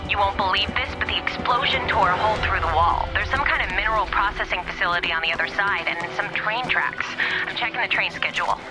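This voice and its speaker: A woman's voice: mid-range voice, fast talker, loud music behind the voice, rough recording. An actress playing a feisty, indignant female mission handler in a video game, heard through a radio filter. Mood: businesslike. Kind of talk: video game cutscene acting.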